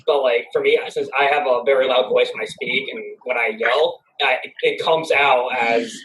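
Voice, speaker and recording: A man's voice: mid-range voice, steady tone, normal speed, fairly bright tone, poor audio. A teenage male athlete chatting casually as a guest, emphatic and jokey, often repeating himself. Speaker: student athlete guest, goalkeeper. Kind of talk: casual chat. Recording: clear background noise.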